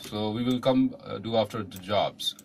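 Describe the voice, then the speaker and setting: A man with an Indian accent coaching in a studio, giving casual, halting, repetitive direction. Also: on the low side, gravelly. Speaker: voice-over coach. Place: recording studio.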